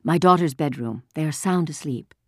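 A woman reader plays a protective mother in a storybook. The voice is polite and controlled, quietly firm, and recorded very dry. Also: deep voice, normal speed, breathy edge, dry recording. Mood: quietly pleading. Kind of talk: audiobook character voice.

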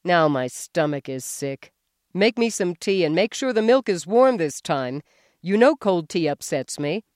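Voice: medium pitch, lively intonation, takes it slowly, no room sound, studio quality. A reader voicing a demanding, hysterical middle-aged husband who whines and accuses. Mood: shrill complaint. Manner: complaining, accusing, rising to yells.

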